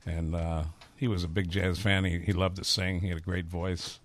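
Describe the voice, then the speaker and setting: A man's voice: sits low, level tone, moderate pace, slight background noise. A man in his fifties with a Chicago accent, a chef talking candidly and rambling through stories in a casual interview. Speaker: chef and restaurateur.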